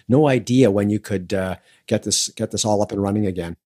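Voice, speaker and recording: A man with a lowish voice co-hosts and narrates in a measured, thoughtful manner. The sound is dry and close, with no room echo. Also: warm tone. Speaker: podcast co-host. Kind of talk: conversational co-hosting and narration.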